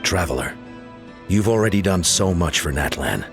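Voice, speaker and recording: An actor playing a weary, grave male clan leader in a video game, speaking deliberately. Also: low-pitched, expressive, creaky, music in the background.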